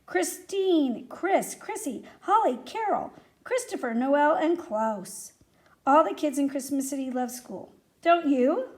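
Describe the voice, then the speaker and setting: A woman reading a children's story aloud in an expressive, animated way, speaking directly to young listeners. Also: high-pitched, a little slow.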